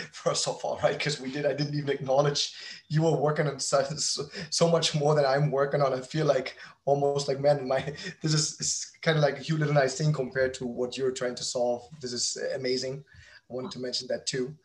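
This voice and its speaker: A man speaking as a podcast guest in casual conversation, halting and repeating words, with a self-deprecating way of talking. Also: mid-range voice, level tone. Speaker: podcast guest, company founder.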